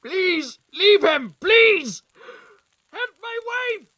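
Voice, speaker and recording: A man's voice: very high-pitched, big pitch swings, very slow, sharp tone, raised voice, slight background noise, full character voice. A man acting a distraught, bitter village husband in an audio drama, heightened and ready to threaten. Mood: desperate.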